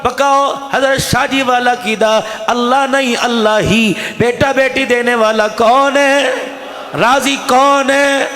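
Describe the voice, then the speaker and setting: A man preaching an impassioned Islamic sermon, emphatic, with drawn-out rhetorical questions and repetition. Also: very high-pitched, fairly even intonation, bright, forceful tone, slight background noise. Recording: echoey hall with long reverb.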